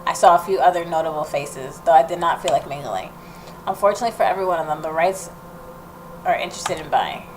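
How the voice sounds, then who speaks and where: A woman with a fairly low voice hosts a game playthrough. She reads the narration aloud and reacts expressively as she goes, with faint noise behind her. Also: animated, fast talker. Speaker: gaming video host. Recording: noticeable background noise.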